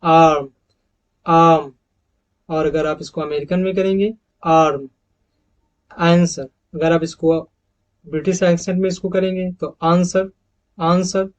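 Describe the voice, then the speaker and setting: A man with an Indian accent teaching English phonetics over slides, repeating each model word several times and calling his viewers friends. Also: a little high. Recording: dull lo-fi recording.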